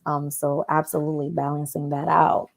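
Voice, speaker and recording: A woman's voice: low voice, little pitch movement, moderate pace, vocal fry. A woman moderating a panel, enthusiastic and affirming as she hands questions to the speakers. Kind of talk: panel discussion.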